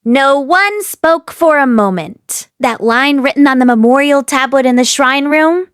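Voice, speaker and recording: A woman narrating a story as an audiobook reader, in an expressive scripted read-aloud style. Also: sits high, measured pace, dry recording, clean studio sound.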